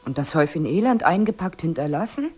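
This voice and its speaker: Low, hushed, anxious woman. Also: sing-song, quick pace, breathy edge, heavily acted. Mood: resigned, wry. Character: anxious, wistful wife fretting over a helpless man. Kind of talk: intimate German radio drama acting. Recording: music behind the voice. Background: music.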